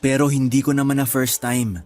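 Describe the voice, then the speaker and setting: A man acting as a discouraged, bashful young boyfriend in a radio drama, with a hesitant, soft-hearted manner. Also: mid-range voice, level tone, a little fast, natural voice. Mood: dejected. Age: young adult. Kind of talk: Tagalog radio drama dialogue.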